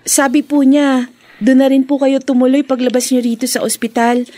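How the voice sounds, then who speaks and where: An actress playing a dutiful young daughter weighed down by shame, tender and earnest, in Filipino radio drama style. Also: female voice, sits high, flat delivery, moderate pace, warm tone, no room sound. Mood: tender, caring. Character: young woman, dutiful loving daughter, weary bar worker burdened by shame.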